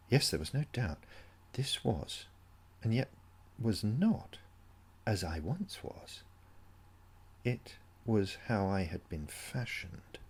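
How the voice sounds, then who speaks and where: A man with a gravelly, husky voice narrating an eerie first-person story in a brooding, measured, suspenseful way, like a dramatic audiobook. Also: lowish voice.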